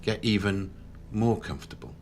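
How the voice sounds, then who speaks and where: A man leading a guided meditation in a slow, soothing manner with long pauses. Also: on the low side, slight background noise. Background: background music.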